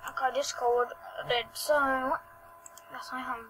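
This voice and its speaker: A female host giving excitable, rambling gameplay commentary over a screen recording, often trailing off into mumbles. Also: sits high, unhurried, low-quality recording. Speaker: gaming channel host. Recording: steady hum behind the voice.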